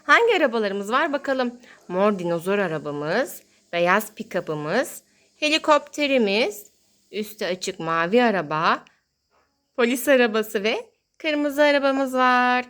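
A woman's voice: on the high side, animated, measured pace, fairly bright tone. A woman hosting toy play for young children as a mother, talking in a sing-song, exclaiming way and drawing out her words.

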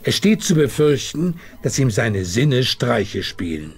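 A grave, husky narrator for a detective adventure game. He reads in a slow, formal, dramatic manner with plenty of rise and fall. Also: mid-range voice, sing-song, exaggerated voice. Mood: ominous.

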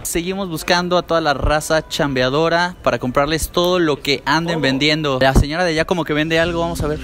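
A man's voice: a little high, steady tone, a little fast. Upbeat young Mexican guy vlogging. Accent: Mexican Spanish. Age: young adult. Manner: upbeat, slangy, encouraging. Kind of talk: casual street vlog chat. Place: outdoors on a city street. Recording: loud music under the voice.

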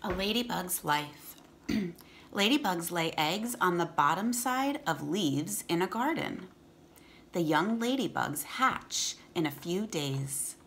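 A woman's voice: lowish voice, animated, takes it slowly, fairly bright tone, slight background noise. A woman, reading aloud to children as a teacher and chatting casually between passages. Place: room at home.

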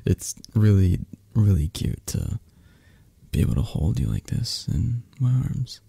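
A man acts out a drowsy, flirtatious mafia boss in a romantic ASMR roleplay. His very low voice is gravelly, and he takes his time, with hesitant pauses. The delivery swings expressively in pitch while staying intimate, possessive and tender. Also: lively intonation, dark tone, no room sound, full character voice. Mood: loving, sleepy. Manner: slow, hesitant, murmured endearments with pauses. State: tired, drowsy. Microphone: close microphone.

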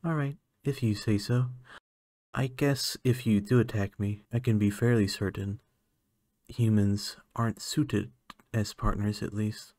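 A lonely alien scientist, acted by a man in an ASMR roleplay, with a fairly low voice. He speaks slowly and haltingly, musing earnestly. The sound is dry and close, with no room echo. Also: steady tone, mellow, natural voice. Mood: hesitant, awkward.

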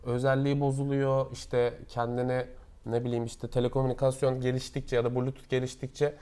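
A man's voice: medium pitch, flat delivery, brisk, dark tone, smooth. A man explains products casually in an interview as a knowledgeable seller, going step by step with examples.

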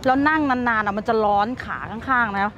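A young woman vlogging casually at an outdoor motorcycle swap meet, chatty and excited, talking into a handheld camera. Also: medium pitch, normal speed, highs cut off. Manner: chatty, excited, switching between Thai and English. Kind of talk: casual vlog chat.

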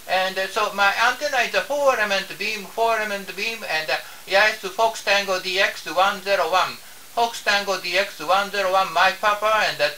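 Male amateur radio operator, Japanese accent, halting and repetitive, recorded off-mic with faint hiss. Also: sits high, sharp tone, recorded from a distance, poor audio. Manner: halting, repeats phrases and spells out call signs. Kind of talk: ham radio contact. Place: home radio room indoors.

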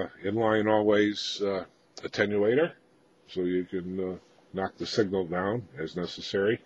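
A man with a low-pitched voice presents gear informally, like a hobbyist talking without a script. His phrasing is halting, with frequent pauses mid-sentence. The recording is dull and lo-fi, with faint noise behind him. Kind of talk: informal unscripted equipment walkthrough.

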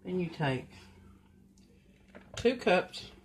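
An older woman at home giving plain, unhurried step-by-step cooking instructions in her kitchen. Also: on the low side, expressive. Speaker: home cook. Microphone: camera at the counter, off-screen speaker. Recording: noisy home recording. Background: mixer and kitchen clatter.

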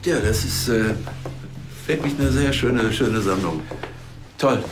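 A man's voice: medium pitch, slow, over music, natural voice. A man playing a flustered, evasive policeman in a TV crime comedy, stammering and ingratiating himself awkwardly. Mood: nervous, hesitant. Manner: stammering, hesitant, obsequious.

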